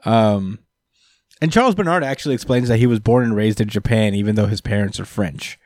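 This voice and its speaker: A man's voice: fairly low pitch, a little fast, a little mellow, no echo. A man talking casually, full of ums and uhs. He retells scenes and quotes the characters as he goes. His voice sounds dry and close, with no room around it. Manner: hesitant, lots of fillers, retells scenes with quoted lines. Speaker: podcast co-host. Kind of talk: casual chat recapping a manga.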